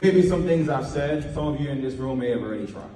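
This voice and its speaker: A man's voice: medium pitch, animated, fast, dark tone, echoey room, big-room sound, distant mic, a little hiss or hum. A man from Trinidad giving an earnest, emphatic motivational talk at a media workshop. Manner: earnest, emphatic, with repeated phrases.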